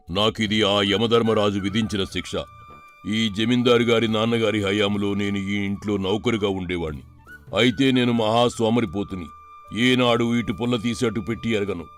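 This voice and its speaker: A man's voice: on the low side, close to an everyday voice. A man playing a weary, repentant langur-monkey ghost in a children's moral story, sighing and plaintive yet grateful.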